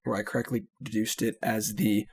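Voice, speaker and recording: A man casually commentating his own card game, analytical and relaxed, often trailing off with "so". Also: fairly low pitch, fairly quick, husky.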